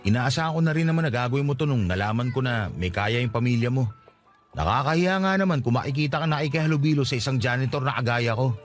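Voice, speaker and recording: A man's voice: mid-range voice, animated, fast talker, soft background music, natural voice. An actor playing a lovestruck, persistent young working-class man, pleading insistently in a radio drama. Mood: hurt, insistent. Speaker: voice actor in a radio-style love story. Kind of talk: Filipino radio drama acting.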